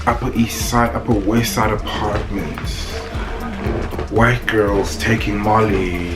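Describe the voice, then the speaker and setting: Chatty young South African man. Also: on the low side. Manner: chatty, rambling, loose and playful. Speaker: vlogger. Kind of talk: casual one-take vlog chat.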